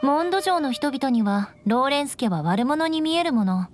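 Haughty high-voiced young noblewoman knight. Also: lively intonation, normal speed. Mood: composed. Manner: haughty, composed, refined feminine speech. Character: proud young noblewoman knight, haughty, grudge-bearing, secretly caring. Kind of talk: anime video game voice acting. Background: game music.

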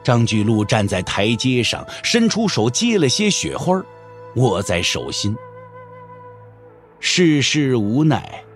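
A man narrating a martial-arts audio drama in a measured, expressive, scripted storytelling style. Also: mid-range voice. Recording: music under the voice. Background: background music.

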